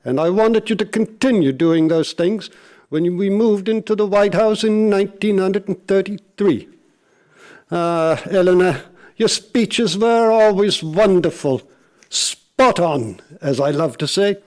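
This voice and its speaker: A man's voice: sits high, a little slow, darkish tone. A man playing a genial patrician American President in live radio theater, with a declamatory delivery. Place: live stage before a studio audience in a church.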